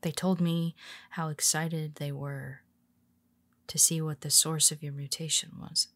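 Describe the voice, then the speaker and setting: A scheming heroine, voiced by a woman speaks low and unhurried, with a gravelly edge on her words. She keeps her tone calm, wry and confiding, and often drops into a soft whisper. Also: full character voice. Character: cool, scheming female superhero confessing to her nemesis. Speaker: voice actor. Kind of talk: audio roleplay monologue.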